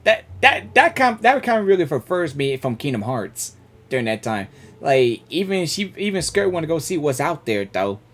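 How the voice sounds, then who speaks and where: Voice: mid-range voice, big pitch swings, moderate pace, a bit bright. A gaming host giving casual, off-the-cuff reactions, hesitant and repetitive while musing aloud.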